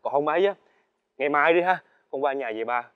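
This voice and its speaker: An actor with a Southern Vietnamese accent playing a humble, coaxing young villager and devoted older brother in a folk-tale drama. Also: male voice, a little high, animated, fairly quick, clean tone, natural voice. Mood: coaxing, deferential. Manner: deferential, pleading. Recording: dull sound with background music.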